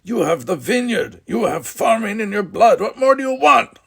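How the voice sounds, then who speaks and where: A man's voice: on the low side, animated, a little slow, a little mellow, breathy edge, full character voice. A man voicing a stern, proud father in an audiobook, speaking in an authoritative, cold way. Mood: furious. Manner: authoritative, cold, bellowing when angered. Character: stern proud older father, commanding vintner. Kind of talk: audiobook character voice.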